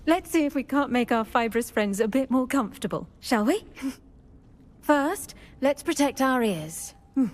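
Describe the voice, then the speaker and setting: High, effusive witch professor. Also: animated, unhurried, slight background noise. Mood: delighted. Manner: effusive, singsong, flowery teacherly delivery. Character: cheerful, whimsical female herbology professor witch. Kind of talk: video game cutscene acting.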